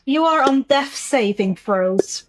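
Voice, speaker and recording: A woman game master with a high voice narrates at a slow, measured pace. She describes the scene and patiently explains rules, recorded dry with faint music behind her. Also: expressive. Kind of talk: improvised tabletop game narration.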